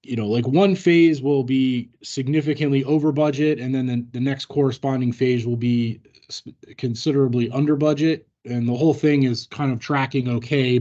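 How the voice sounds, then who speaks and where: A man presents at a workplace training session in a mellow, relaxed voice. He explains procedures with hesitant, measured phrasing. The audio is dull and lo-fi. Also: medium pitch.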